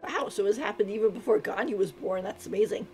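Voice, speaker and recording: A woman's voice: medium pitch, fast talker, darkish tone, soft background music. A woman livestreamer giving casual reaction commentary while playing a video game.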